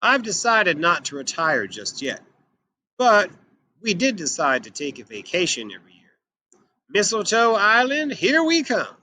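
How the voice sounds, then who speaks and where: A man with a high, bright voice reads a picture book aloud for children. His delivery is animated and emphatic, with lively swings in pitch. Some background noise sits behind him. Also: measured pace. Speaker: children's storybook reader.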